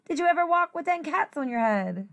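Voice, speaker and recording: A woman teacher reading a rhyming children's picture book aloud in an expressive, sing-song way. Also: high voice, brisk, muffled recording.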